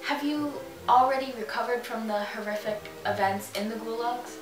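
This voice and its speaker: Woman as theatrical TV news host, formal scripted delivery, slightly high, off-mic in a roomy space. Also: moderate pace, close to an everyday voice. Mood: formal, earnest. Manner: announcer-like, formal. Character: female television news magazine anchor, earnest and theatrical. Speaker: mock news host and interviewer. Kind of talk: scripted news narration parody. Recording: echoey room, distant mic, loud music.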